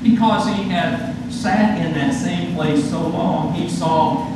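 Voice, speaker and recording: An older man preaches from a pulpit, spinning homespun stories in a rather high, unhurried voice. He is heard from far off in a large church with a long, echoing reverb. A steady hiss runs under the recording. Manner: storytelling, voices the characters in his anecdotes. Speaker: guest pastor. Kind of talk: sermon with folksy stories. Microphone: far camera at back of the church.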